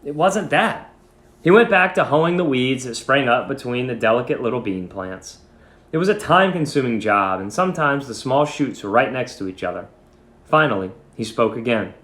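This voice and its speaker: A man speaking as a teacher, reading and instructing in an expressive, emphatic way. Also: medium pitch, normal speed. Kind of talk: dramatic story reading and lesson instruction. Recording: echoey room.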